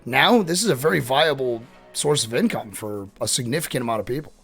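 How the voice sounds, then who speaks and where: A man's voice: mid-range voice, animated, a little fast, gravelly, a bit bright, faint music behind. A man co-hosting a podcast in casual chat, talking in choppy, repetitive phrases with conversational asides. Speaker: podcast host.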